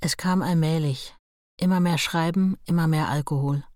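An actress in a radio play voicing a writer's hurt, plainspoken wife who looks back in conversational, halting phrases. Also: female voice, sits low, steady tone, background noise, close to an everyday voice. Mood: sad, resigned.

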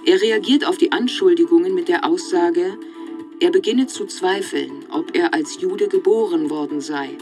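A woman performing as a matter-of-fact narrator in a documentary radio play, with a measured delivery over music. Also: on the low side, natural voice. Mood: factual. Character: matter-of-fact narrator reporting a disputed biography. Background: clear music.

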